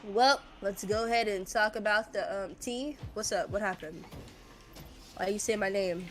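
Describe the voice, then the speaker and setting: A woman's voice: mid-range voice, moderate pace, soft background music. A woman streaming a game and chatting casually, rambling and hesitant, laughing often and sounding a bit congested. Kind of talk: casual gaming stream chat.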